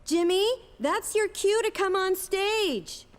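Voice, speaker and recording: A woman's voice: very high-pitched, takes it slowly. A woman playing an encouraging schoolteacher who directs a children's play in a cartoon. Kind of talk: scripted children's cartoon acting. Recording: long hall reverb.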